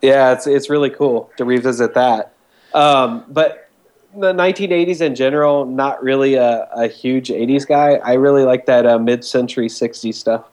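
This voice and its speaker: A man's voice: mid-range voice, steady tone, moderate pace, darkish tone. Enthusiastic man in his forties chatting. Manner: enthusiastic, loose, conversational. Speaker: guest. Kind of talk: casual chat.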